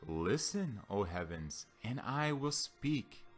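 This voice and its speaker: A man giving a solemn scripture reading as a Bible reader, with deliberate, declamatory phrasing. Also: fairly low pitch, sing-song. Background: background music.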